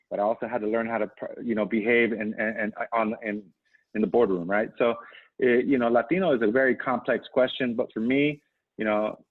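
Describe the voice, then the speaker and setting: A man speaking as a panelist on a video call, earnest and halting, with many restarts and "you know"s. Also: medium pitch. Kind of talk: casual panel conversation. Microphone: video call mic. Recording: tinny phone-like audio.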